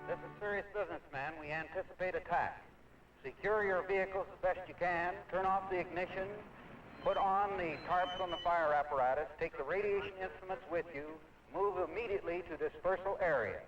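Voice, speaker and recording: A man's voice: high voice, brisk. A man with an American accent gives clipped, commanding orders as a fire service commander, with urgency. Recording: distant, dull, noisy old film sound.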